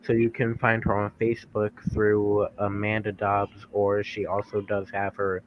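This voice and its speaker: Male guest, low and flat delivery, matter-of-fact; dull lo-fi audio. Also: unhurried, a little hiss or hum. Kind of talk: casual chat.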